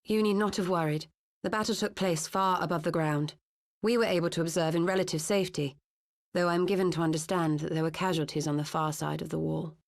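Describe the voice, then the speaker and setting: Composed fantasy game heroine: lower register, measured and formal, discreet but caring. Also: female voice, steady tone, gravelly. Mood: calm. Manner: measured, formal, archaic phrasing. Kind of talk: dramatic video game cutscene acting.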